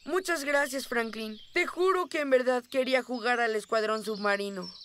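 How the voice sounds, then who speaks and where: A woman playing a gentle, good-natured young boy bear in a cartoon dub, with a mild, hesitant, childlike manner. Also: on the high side, moderate pace, a little hiss or hum, lightly acted. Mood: drowsy, apologetic. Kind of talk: dubbed children's cartoon acting.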